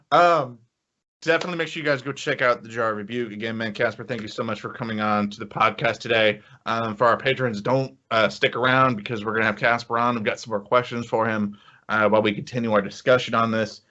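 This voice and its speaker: A man's voice: medium pitch, bright, forceful tone. A man hosting a podcast, talking casually to a guest and to listeners. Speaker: podcast host. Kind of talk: casual podcast sign-off and plugs. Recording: dull lo-fi audio.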